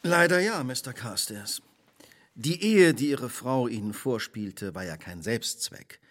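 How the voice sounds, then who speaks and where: An actor playing a shrewd, relentless detective in a radio drama, speaking precisely, formally and theatrically. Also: male voice, mid-range voice, animated, a little slow, a bit bright. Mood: grave, revealing. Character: shrewd, relentless male detective laying out a crime.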